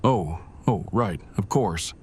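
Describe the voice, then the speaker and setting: A man's voice: sits low, lively intonation, slow, a little mellow, a little hiss or hum. An actor playing an awkward, verbose man who talks in stiff, pedantic, formal phrases in an audio drama. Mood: flustered.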